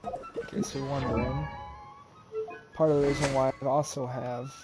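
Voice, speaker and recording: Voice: medium pitch, huge pitch swings, moderate pace. A gamer giving casual gameplay commentary. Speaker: gamer, video maker. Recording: game music behind voice.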